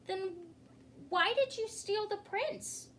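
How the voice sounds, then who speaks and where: A woman's voice: extremely high pitch, slow, fairly bright tone, a little hiss or hum, voice pushed up, heavily acted. A woman voicing a brave, kind young girl knight in a children's storybook, earnest and encouraging. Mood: challenging.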